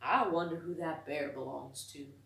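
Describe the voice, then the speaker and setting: A woman's voice: low-pitched, normal speed, creaky, fairly bright tone, pitched below the performer's own voice. A woman voicing a kindly, thoughtful young man, an artist in a children's storybook, in an emphatic, musing way. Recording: roomy echo, distant from the mic.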